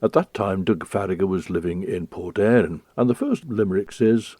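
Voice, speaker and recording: Male narrator, measured storyteller, low and animated, dry close read. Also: dry recording. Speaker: documentary narrator. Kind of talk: scripted radio narration.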